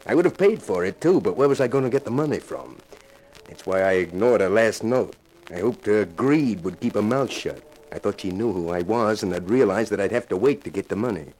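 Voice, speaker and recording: An actor playing a smug, scheming young killer in a 1950s radio crime drama, threatening and often hushed. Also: male voice, on the low side, animated, a little fast. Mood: smug, gloating. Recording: noisy vintage radio recording.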